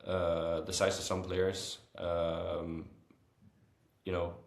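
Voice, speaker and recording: A man in his early twenties with a Danish accent, answering casually in a podcast interview with frequent hesitant filled pauses. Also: sits low, completely flat delivery, vocal fry. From Copenhagen, Denmark. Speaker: interview guest, football goalkeeper. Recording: roomy, echoing sound.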